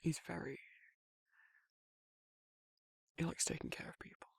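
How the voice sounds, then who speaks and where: A man playing a guarded but soft-hearted werewolf in an intimate ASMR roleplay, talking in a halting, informal way with a husky, gravelly voice. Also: no room sound, lightly acted. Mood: bashful, candid. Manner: hesitant, halting, rambling, informal. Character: guarded, soft-hearted male werewolf shyly confessing affection.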